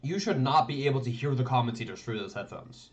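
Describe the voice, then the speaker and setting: A man streams casual commentary over match footage, his pitch jumping around lively as he rambles and checks himself aloud. The audio is dull and lo-fi, like a modest home stream setup. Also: fast. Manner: rambling, thinking aloud, many "like" and "right?". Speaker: streamer reviewing tournament matches.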